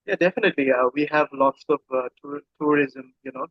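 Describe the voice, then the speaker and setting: Higher-pitched Bangladeshi man on dull audio. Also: a little fast, no room sound. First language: Bengali. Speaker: interview guest. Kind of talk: casual interview conversation.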